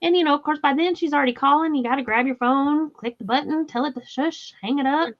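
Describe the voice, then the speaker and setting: A woman's voice: high voice, quick pace. A woman with a Southern US accent hosting a crochet livestream in casual, laughing chat and reading viewer comments aloud. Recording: slightly dull lo-fi audio.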